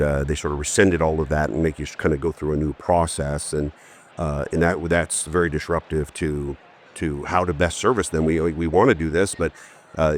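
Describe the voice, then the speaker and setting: A man with a very deep voice, hosting a conversation on a podcast. He asks his questions in a loose, halting way, pausing on fillers and restarting his sentences. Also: slight background noise. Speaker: podcast host. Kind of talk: casual interview conversation.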